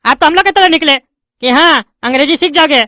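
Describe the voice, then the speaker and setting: A woman's voice: high voice, fairly quick, sharp tone, dry recording, studio quality. A woman voicing a brash, touchy bald male politician who argues indignantly, with a lilt. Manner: argumentative, indignant, bossy. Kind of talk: cartoon comedy voice acting.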